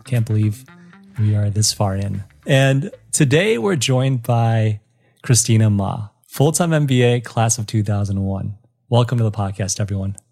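A man's voice: fairly low pitch, lively intonation, moderate pace, gravelly, darkish tone, soft background music. A man hosting a podcast, reading a welcoming scripted intro. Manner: welcoming, announcing. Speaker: podcast host.

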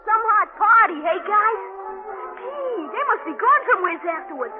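An actor playing an eager, excitable young New slum kid in a 1940s radio drama, talking in street slang. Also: male voice, very high voice, moderate pace. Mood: wide-eyed wonder. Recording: muffled 1940s radio broadcast, music behind.

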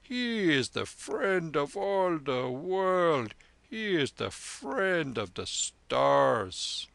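A man reading aloud, voicing a gentle old holy man proclaiming slowly and proudly. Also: a little high, sing-song, creaky, no echo, pitched above the performer's own voice, full character voice.

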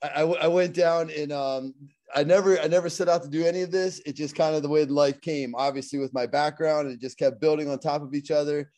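A middle-aged man speaks with a Pittsburgh accent in a slightly high voice. He talks earnestly in a loose interview style, often repeating words and restarting his sentences. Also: fairly even intonation, fast, a little mellow. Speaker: guest, motivational speaker. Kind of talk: casual interview talk.

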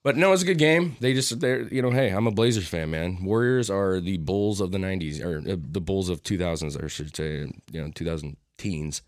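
A man in his thirties, a little hoarse, co-hosting a casual podcast and rambling with a lot of swearing. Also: low-pitched, fairly quick, hardly any pauses, no echo, clean studio sound. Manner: profane, rambling. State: slightly hoarse. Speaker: podcast co-host.

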